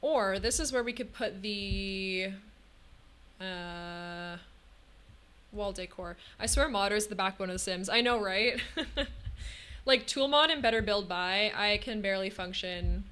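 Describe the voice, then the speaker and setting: A woman's voice: medium pitch, fairly even intonation, a little slow, sharp tone. A young woman talking casually through a live game stream, thinking aloud with lots of hmms and ums. Her throat feels a little scratchy.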